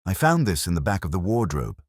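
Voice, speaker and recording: A man's voice: deep voice, very animated, a little fast, no room sound, studio quality. A man voicing an uneasy, earnest woman who confides in police in an audio mystery.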